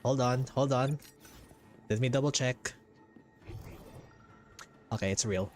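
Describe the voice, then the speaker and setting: A man giving casual, jokey game playthrough commentary as a streamer, with drawn-out reactions. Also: medium pitch, a little mellow. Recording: game music behind the voice.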